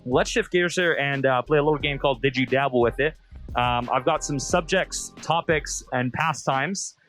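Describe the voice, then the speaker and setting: Guy hosting a casual chat show, mid-pitched voice, music going behind him. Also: moderate pace, fairly bright tone. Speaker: interview show host. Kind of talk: casual interview with quick-fire game questions.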